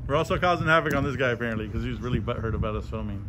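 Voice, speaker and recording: A man's voice: medium pitch, rapid-fire. A man talking casually and informally in slang, as a vlogger filming out on the street. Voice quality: slangy, profane. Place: outdoors on streets near traffic. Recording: noisy outdoor street with traffic.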